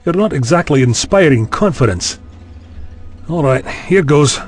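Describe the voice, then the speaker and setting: A man's voice: on the high side, expressive, a little slow, soft background music. A man playing a skeptical, impatient university chancellor in an audio drama, speaking bluntly and with exasperation. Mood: nervous.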